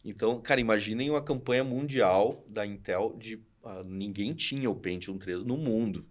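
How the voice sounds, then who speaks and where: A man in his forties with a southern Brazilian accent, a podcast guest telling old stories in a slangy, animated way and acting out what people said. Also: on the low side, normal speed, highs cut off. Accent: southern Brazilian Portuguese. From Rio Grande do Sul, Brazil. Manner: animated, slangy, acts out quoted dialogue. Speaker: podcast guest, studio founder. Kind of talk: casual storytelling chat.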